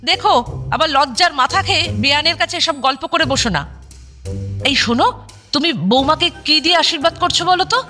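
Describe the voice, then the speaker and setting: A woman playing a sharp-tongued yet fond wife and mother-in-law in an audio drama, scolding and expressive. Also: sits high, moderate pace, soft background music. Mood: nagging.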